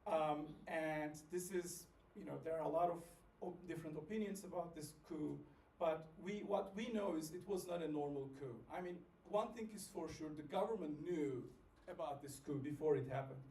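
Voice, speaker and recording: A man's voice: on the high side, normal speed, warm tone, far from the mic. Chatty man presenting in an echoey room. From Turkey. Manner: chatty and informal, many fillers. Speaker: seminar presenter. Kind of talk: conference presentation. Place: seminar room.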